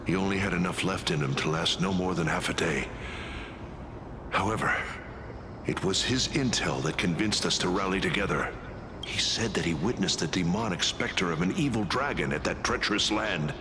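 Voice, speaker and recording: Man playing a low-voiced, courteous ancient soldier. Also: normal speed, background noise, lightly acted. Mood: grave. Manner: formal, polite, earnest storytelling. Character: courteous, weary male soldier of an ancient kingdom. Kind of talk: video game voice acting.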